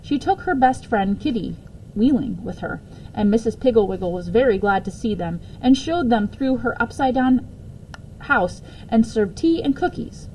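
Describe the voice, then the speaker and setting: A woman reading a children's book aloud as storyteller, expressive in her narration. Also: fairly high pitch, normal speed, rough recording. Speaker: story reader. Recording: faint background noise.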